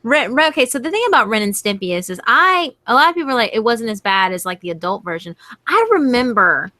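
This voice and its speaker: A young woman hosting a casual group chat, gushing and excitable with quick exclamations. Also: on the high side, dry recording. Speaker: host.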